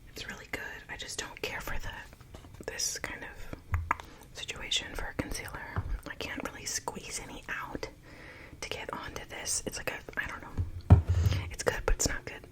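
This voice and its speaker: A woman's voice: unhurried, low-quality recording. A young woman whispering through a makeup tutorial, rambling with plenty of fillers and chatting to her viewers. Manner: chatty, self-conscious, asks viewers for comments. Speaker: beauty vlogger.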